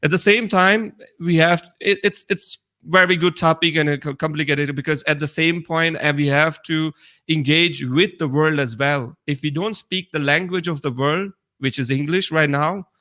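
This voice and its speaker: Higher-pitched Pakistani man, halting delivery. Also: bright, forceful tone. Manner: halting, searching for words. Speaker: co-host. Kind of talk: casual live discussion, reading viewer comments.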